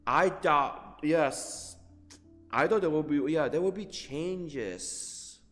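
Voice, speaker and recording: A man giving casual, halting reaction commentary on a game, often repeating himself. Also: fairly high pitch, animated, a bit bright. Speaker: streamer. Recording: reverberant room, game music behind.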